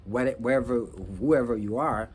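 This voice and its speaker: An earnest young man from Rwanda speaks in a reflective vlog and often stops to restart his sentences. His voice sits at an everyday middle pitch. A faint hiss runs underneath. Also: a little mellow. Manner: earnest, halting, many fillers and restarts. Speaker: vlogger. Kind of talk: personal reflective talk to camera.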